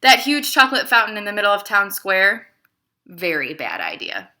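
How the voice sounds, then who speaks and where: A woman playing a clever, self-justifying male emperor who tells his side of a children's storybook tale in an expressive, confiding way. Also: medium pitch, normal speed, full character voice. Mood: confiding, exasperated. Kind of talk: children's storybook read-aloud.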